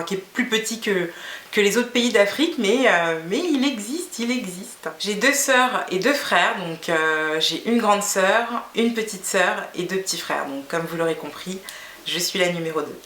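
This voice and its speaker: A woman's voice: on the low side, a bit bright, echoey room. A woman in her thirties from Guinea whose first language is Fula, chatting casually to camera with many fillers and restarts. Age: 30s. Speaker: beauty vlogger.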